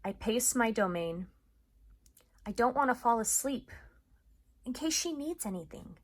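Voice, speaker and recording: A woman's voice: fairly high pitch, lively intonation, measured pace. A woman doing an informal read-aloud of a children's story.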